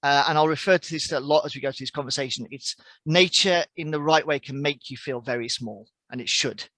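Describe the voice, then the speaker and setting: A man's voice: on the high side, brisk, sharp tone, no echo. Reflective English man in his forties. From English Midlands. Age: 40s. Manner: reflective, earnest, with frequent restarts and fillers. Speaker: podcast guest, outdoor coach. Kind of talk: conversational interview answers.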